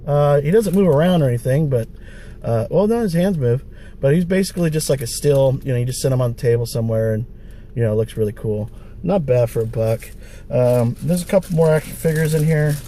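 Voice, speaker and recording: Raspy-voiced man with a cold. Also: mid-range voice, mellow, a little hiss or hum. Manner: wry, rambling, full of fillers. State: hoarse from a cold. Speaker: thrift-hunting vlogger. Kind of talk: casual vlog chat. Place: outdoors by stores, street traffic.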